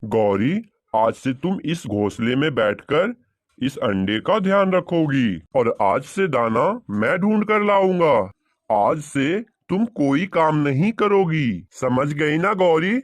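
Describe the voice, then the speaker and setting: A man playing a commanding, excitable male crow husband in a children's cartoon, speaking emphatically. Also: a little high, slow pace, no room sound, studio quality. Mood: bossy. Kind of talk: Hindi children's cartoon dialogue.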